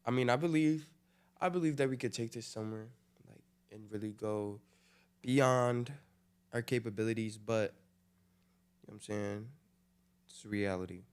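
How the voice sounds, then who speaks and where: Teen son co-host, eighteen, lowish voice, relaxed and earnest. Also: male voice, normal speed, long pauses, clear, resonant tone. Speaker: podcast co-host. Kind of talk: casual family chat.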